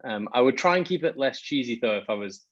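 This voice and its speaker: A man's voice: mid-range voice, expressive, fast, dry recording, lo-fi sound. A Scottish man in his thirties, a startup founder talking through his career in a hesitant, self-deprecating way with lots of ums. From Scotland. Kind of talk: casual interview talk.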